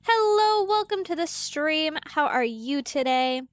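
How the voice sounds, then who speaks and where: A woman's voice: sits high, lively intonation, unhurried, no echo. A woman streaming a game live, chatting to her viewers and the game's animals in a rambling way, slightly nasal from congested sinuses. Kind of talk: casual live gameplay chat. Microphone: desk mic at a computer.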